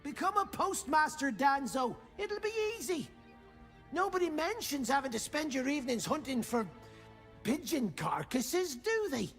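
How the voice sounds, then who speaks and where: High-pitched, harried British postmaster. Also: male voice, sing-song, moderate pace, fairly bright tone, faint music behind, heavily acted. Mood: harried, grumbling. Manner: grumbling, colloquial. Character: harried, chatty, shifty postmaster. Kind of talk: video game character acting.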